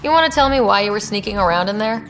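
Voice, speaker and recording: A woman's voice: medium pitch, lively intonation, racing, fairly bright tone, faint music behind. A woman playing a confident, determined explorer hero in a video game, direct and questioning. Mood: firm, demanding.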